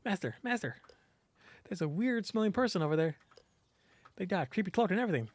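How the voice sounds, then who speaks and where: A man voicing an excitable, childlike demon dog girl from a game, eager, mischievous and gushing. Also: high-pitched, quick pace, dry recording, pitched above the performer's own voice. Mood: urgent, eager.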